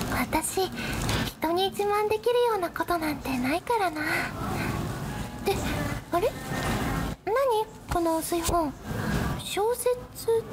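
A woman playing a mild, easily flustered high school girl in a radio drama, earnest and a little hesitant, sometimes narrating her own life. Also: heavy background noise, exaggerated voice. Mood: surprised, impressed. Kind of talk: Japanese radio drama acting with narrated asides.

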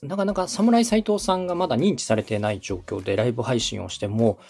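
A man's voice: mid-range voice, a little fast, a little mellow, slightly rough, soft background music. A man from Osaka giving polite, methodical advice as a podcast host and radio director. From Osaka, Japan. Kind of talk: explanatory consulting talk.

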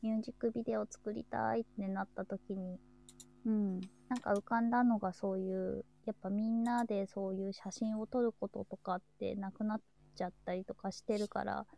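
A woman hosts a live show in an easy, chatty way. Her voice is mellow and soft-edged, with a good deal of creak in it. She speaks informally, as in unscripted conversation. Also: mid-range voice, little pitch movement, brisk. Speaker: host of a live chat show. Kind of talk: casual chat.

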